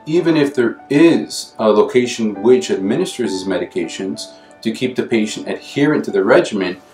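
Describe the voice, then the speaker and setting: Man in his thirties, lowish voice, measured explaining, roomy room, faint music. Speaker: clinical pharmacy specialist. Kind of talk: interview answer. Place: office, indoors.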